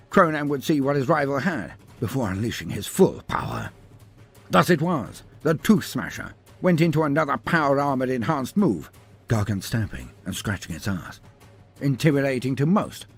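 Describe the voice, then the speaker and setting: A man's voice: mid-range voice, breathy edge. A man narrating a scripted story in a dramatic, grandiose, theatrical manner.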